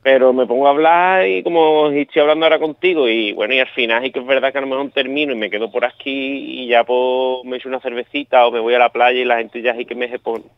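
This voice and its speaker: A man's voice: mid-range voice, steady tone, fast, hardly any pauses, smooth, dry recording, phone-quality sound. A man from El Puerto de Santa María with an Andalusian accent, a painter chatting loosely in a radio interview, with many restarts and fillers. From El Puerto de Santa María, Spain.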